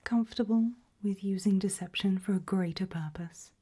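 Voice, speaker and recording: A woman in an ASMR roleplay voicing a polite, quietly menacing HR interviewer in a breathy, deliberate whisper. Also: mid-range voice, voice pushed up, natural voice. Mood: calm, probing. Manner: slow, deliberate, softly spoken.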